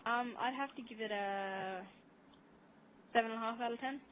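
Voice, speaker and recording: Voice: medium pitch, normal speed, narrow, tinny audio. A guest chatting casually in a gaming discussion. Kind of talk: casual chat. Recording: faintly noisy voice chat.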